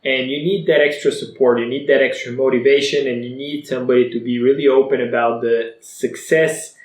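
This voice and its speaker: Bulgarian man, twenties, Bulgarian native, upbeat vlogger telling his story, mid pitch, roomy echo. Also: a little fast. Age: 20s. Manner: energetic, motivational, conversational. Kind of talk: personal story to camera.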